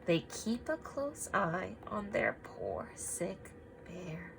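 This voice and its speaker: A woman reading a picture book aloud to children, expressive and emphatic, pausing to ask simple guiding questions. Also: fairly low pitch, gravelly, background noise.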